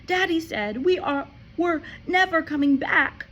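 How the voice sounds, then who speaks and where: A woman voicing a frantic, pleading little girl who cries out high and breathless. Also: measured pace, a bit bright. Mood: frantic crying.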